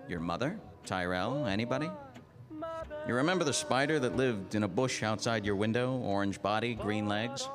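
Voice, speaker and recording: An actor playing a world-weary, hardboiled American detective in a 1940s-style noir radio drama, terse and slangy. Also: male voice, lowish voice, close to an everyday voice. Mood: blunt. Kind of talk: 1940s radio noir acting, narration and dialogue. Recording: music bed under radio drama.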